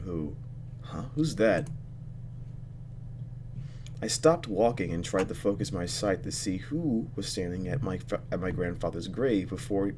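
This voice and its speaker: A man playing a visual novel, reading the text aloud and thinking out loud expressively as he goes. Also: deep voice, background noise. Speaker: let's player reacting to a visual novel. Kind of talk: gaming commentary mixed with reading game text aloud.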